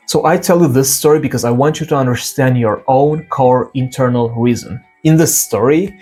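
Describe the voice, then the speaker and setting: A young man with a Turkish accent, English being his second language, talks straight to camera at an ordinary middle pitch. He is earnest and lively, acting out small scenes with quoted lines. A soft guitar track plays faintly behind him. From Turkey. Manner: earnest, animated retelling of stories with quoted dialogue. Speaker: English learning channel host. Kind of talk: direct-to-camera motivational talk.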